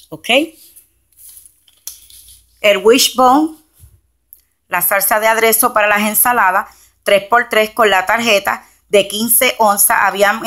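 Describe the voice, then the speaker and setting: A woman's voice: mid-range voice, a bit bright. A woman from New Jersey, chatting casually through a grocery haul and explaining prices step by step, recorded on a handheld phone at home. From Camden, New Jersey, USA. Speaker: couponing vlogger. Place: home, beside a shelf of groceries, indoors. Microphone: handheld phone camera.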